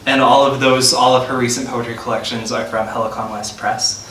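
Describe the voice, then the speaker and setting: Echoey man reading an introduction, bright voice. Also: medium pitch, flat delivery, fairly quick. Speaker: host introducing a featured poet. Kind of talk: reading a scripted introduction aloud. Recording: roomy, distant mic, faint noise.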